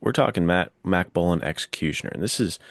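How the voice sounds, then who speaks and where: A man with a very low voice talks as a podcast co-host in a dry, close sound. He explains his points casually and with clear enthusiasm. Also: expressive, fast, no echo.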